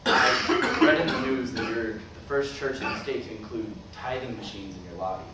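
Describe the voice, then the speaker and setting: A man's voice: fairly low pitch, lively intonation, moderate pace, natural voice. A man playing a dying, middle-aged former professor, dry and argumentative with his brother, in a staged play reading. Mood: earnest. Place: staged reading filmed in a roomy venue. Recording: echoey room, far mic, noisy.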